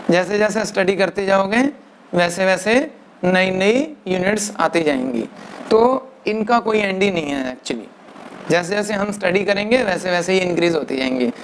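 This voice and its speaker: A male physics teacher giving a lecture, mixing English into Hindi, dictating definitions slowly word by word and often checking with "right na". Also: sits high, a little hiss or hum. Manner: mixes English into Hindi, keeps asking "right na". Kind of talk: classroom lecture.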